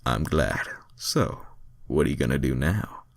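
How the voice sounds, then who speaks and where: A man's voice: very deep voice, expressive, unhurried, gravelly, no echo, clean studio sound, full character voice. A man voicing a cool, cocky male hedgehog hero with a smug, casual, laid-back manner. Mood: smug, pleased.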